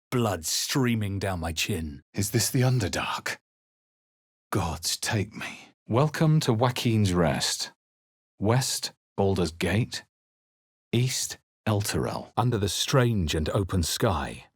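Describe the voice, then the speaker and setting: A man playing a wary, grave fantasy adventurer in a video game, with deliberate, theatrical delivery. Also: deep voice, breathy edge, dry recording, studio quality, full character voice. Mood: wary, terse. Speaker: video game player-character voice actor. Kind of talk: dramatic scripted game narration and voice lines.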